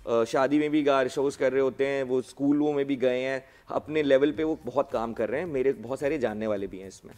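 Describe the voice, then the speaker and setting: A man, a singer and studio guest, explaining at length with examples in a relaxed chat. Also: medium pitch, fairly quick, hardly any pauses.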